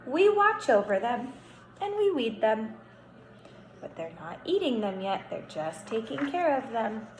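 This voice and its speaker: Slow-paced young kindergarten teacher, a woman. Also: high voice. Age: young adult. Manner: animated, instructive, stretches words for emphasis. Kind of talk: read-aloud lesson for young children. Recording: roomy echo, faint background noise.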